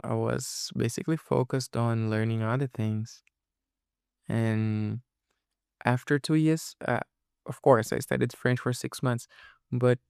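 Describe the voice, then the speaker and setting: Casting: male podcast host, native Portuguese speaker, informal explainer, noticeable vocal fry, very dry close sound. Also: mid-range voice, dry recording. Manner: informal, explanatory, often checking in with "you know" and "okay". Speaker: podcast host and English teacher. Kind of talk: casual podcast monologue to listeners.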